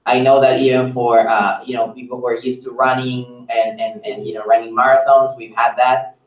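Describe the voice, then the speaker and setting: A man's voice: mid-range voice, little pitch movement, moderate pace, lo-fi sound. Man, call moderator, informal question-and-answer delivery, echoey room, distant microphone. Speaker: call moderator, staff member.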